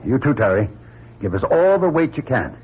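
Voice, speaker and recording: An actor playing a steady, gruff spaceship commander, terse and commanding, in a radio drama. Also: male voice, medium pitch, normal speed. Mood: brisk command. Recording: muffled lo-fi old radio recording.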